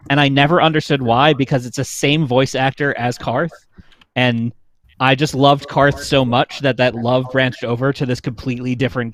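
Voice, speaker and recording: A man, chatting casually and enthusiastically as a podcast panelist, swearing freely. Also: medium pitch. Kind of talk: casual enthusiastic chat about voice actors.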